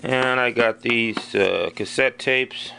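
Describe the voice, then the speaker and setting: A man talking casually and unscripted as a vlogger, listing items in a plainspoken, matter-of-fact way with pauses. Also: mid-range voice, gravelly, background noise. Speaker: vlogger showing storage auction finds. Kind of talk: casual unscripted narration to camera.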